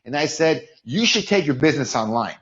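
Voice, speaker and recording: A man's voice: a little high, big pitch swings, brisk, a bit bright, muffled recording. A man in his forties, from Mexico with a Californian accent, telling his story as a podcast guest, often repeating and restarting words. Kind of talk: casual interview storytelling.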